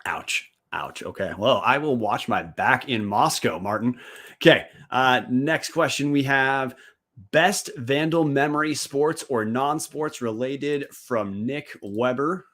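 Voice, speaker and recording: A man's voice: medium pitch, slow pace, fairly bright tone. A man co-hosting a casual sports podcast, teasing his co-hosts and rambling as he talks. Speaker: podcast co-host.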